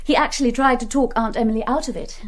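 A woman's voice: a little high, fast talker, lightly acted. An actress playing a spoiled, brazen young English society woman in a radio play, with a British accent and a cool, clipped, imperious manner. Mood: brazen, worldly.

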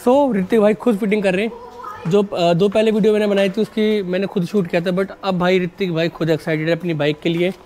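A man's voice: sits high, fairly even intonation, a little fast, a little mellow. A man, chatting casually and informally to viewers as a vlogger, mixing in English words. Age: 20s. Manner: informal, addresses viewers as buddies. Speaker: motorcycle vlogger and presenter. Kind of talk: casual vlog chat with English words mixed in. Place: narrow residential street outdoors. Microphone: wired lavalier microphone.

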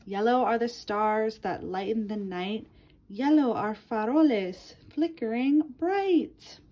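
Slow, high-voiced woman reading to children. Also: mellow. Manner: sing-song, addresses young listeners with questions and prompts. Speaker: gardener reading a picture book to children. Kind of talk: children's read-aloud.

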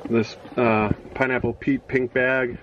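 A middle-aged man giving a casual, halting show-and-tell in a small indoor room on a handheld camera. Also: mid-range voice, little pitch movement, highs cut off. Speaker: reseller showing storage unit finds. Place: small cluttered home office, indoors. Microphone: handheld phone or camera. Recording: noisy handheld home recording.

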